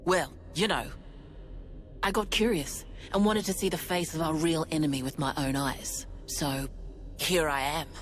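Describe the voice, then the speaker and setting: An actress playing a commanding, resolute and wry woman who leads a city in a video game cutscene, speaking with crisp authority. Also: low voice, a little slow. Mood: wry, composed. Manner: authoritative, crisp military orders.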